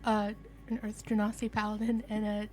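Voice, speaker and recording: A woman's voice: mid-range voice, completely flat delivery, normal speed. A woman chatting casually as a tabletop game master among friends. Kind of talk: casual chat.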